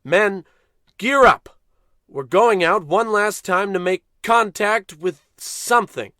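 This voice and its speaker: An actor playing a pompous, dim, blustering spaceship captain in an old-time radio comedy, commanding and self-important. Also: male voice, high voice, slow pace, pushed and bright, no echo, studio quality, exaggerated voice. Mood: bossy, indignant.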